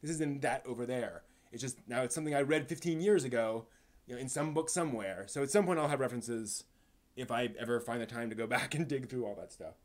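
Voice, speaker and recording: A man hosting a casual live stream, speaking in a halting, rambling way and stumbling over his words, sounding somewhat tired. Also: mid-range voice. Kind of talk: casual live stream chat, answering chat questions.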